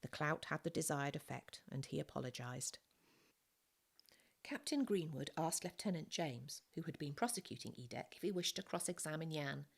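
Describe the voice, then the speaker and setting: A woman's voice: low-pitched, animated, normal speed, vocal fry, slightly rough. A woman reading scripted storybook narration as an audiobook reader.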